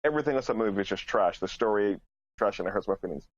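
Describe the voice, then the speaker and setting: Low-voiced, blunt man on a muffled mic. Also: animated, very fast. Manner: blunt, opinionated, swears. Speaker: podcast panelist. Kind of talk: casual podcast panel discussion.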